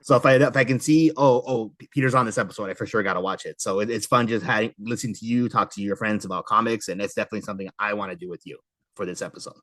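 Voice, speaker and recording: A man's voice: on the low side, very fast, a bit bright, dry recording. A middle-aged American man hosting a casual podcast conversation. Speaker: podcast host.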